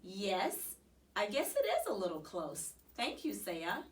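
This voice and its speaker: A woman voicing a brisk, firm but kind female elementary school teacher in a storybook, speaking in a clipped way. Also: medium pitch, wildly swooping pitch, distant mic. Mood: obliging.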